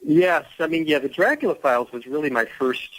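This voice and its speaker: A man's voice: fairly high pitch, lively intonation, brisk, a bit bright, no room sound, low-quality recording. A man speaking as an actor guest in a conversational radio interview, explaining things earnestly.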